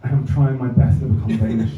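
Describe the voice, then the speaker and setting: English comedian in an echoey club. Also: male voice, mid-range voice, steady tone, fairly quick, slight background noise. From England. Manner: dry, self-mocking delivery with asides. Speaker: stand-up comedian. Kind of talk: stand-up set. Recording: roomy, distant, slightly dull club recording. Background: audience laughter.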